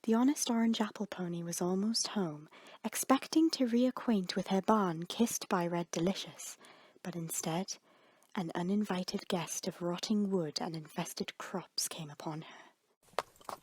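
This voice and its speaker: A woman storyteller reading scripted fiction dramatically, with suspenseful, breathy phrase endings. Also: fairly low pitch, unhurried, a little mellow, dry recording.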